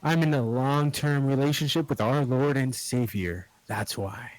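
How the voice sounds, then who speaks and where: A man's voice: mid-range voice, measured pace, close to an everyday voice, rough recording. A man reading a pious rugged hunter. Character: rugged pious male hunter in his thirties.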